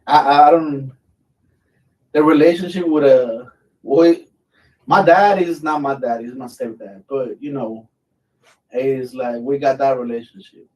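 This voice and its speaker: A man's voice: medium pitch, fairly even intonation, a little slow, long pauses, a little mellow, recorded from a distance, rough recording. A middle-aged man from Mexico, a military veteran, telling stories in a blunt, easygoing way and swearing freely. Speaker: military veteran guest, channel host. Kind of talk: casual live chat.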